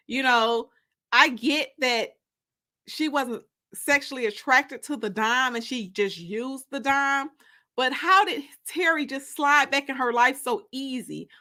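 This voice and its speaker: Female story-time host, high-pitched and forceful, casual and gossipy, talking straight to her viewers. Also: slow pace. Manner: gossipy, informal, repeats phrases for emphasis. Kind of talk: casual livestream chat.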